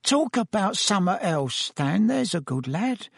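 Man acting a slow, husky, gloomy bus driver. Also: high-pitched, sing-song, dry recording, clean studio sound, voice pushed up, full character voice. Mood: uneasy. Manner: slow, grunting, terse, colloquial. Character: dour, gloomy older male bus driver.

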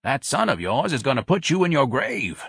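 Mild, wary old-fashioned gentleman voiced by a male reader, audiobook dialogue, slow, earnest, husky, dry sound. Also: medium pitch, big pitch swings, dry recording, voice pushed up, exaggerated voice.

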